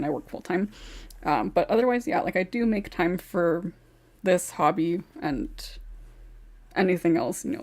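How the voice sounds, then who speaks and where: A woman hosting a casual podcast chat, laughing often and using lots of fillers as she moves the talk along. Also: fairly low pitch. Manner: giggly, filler-heavy, keeps things moving. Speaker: podcast host.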